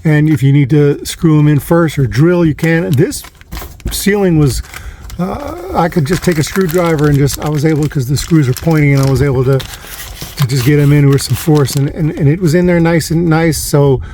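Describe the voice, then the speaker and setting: A man's voice: fairly high pitch, moderate pace, dark tone. A man narrating a casual hands-on how-to in an informal, rambling way, repeating himself as he goes. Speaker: vlogger showing a DIY installation. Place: inside and around a parked van. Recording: noisy handheld recording with clicks.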